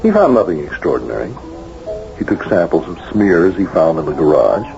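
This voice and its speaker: A composed, probing doctor in a vintage radio play. The voice sits fairly low with lively inflection, deliberate and insistent, on a muffled broadcast with faint music. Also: male voice, gravelly, full character voice. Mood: matter-of-fact. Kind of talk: old-time radio drama acting. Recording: dull old radio broadcast.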